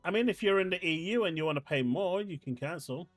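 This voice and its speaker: English male streamer with a high voice, incredulous and ranting at viewers, faint music playing under him. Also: expressive, fast, dry recording. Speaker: streamer host reading live chat. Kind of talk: casual live-stream chat. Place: home desk setup.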